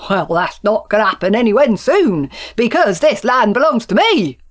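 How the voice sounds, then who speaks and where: A woman voicing a blunt, stubborn middle-aged countryman farmer who talks gruffly and bellows. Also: medium pitch, big pitch swings, moderate pace, close to an everyday voice. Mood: indignant.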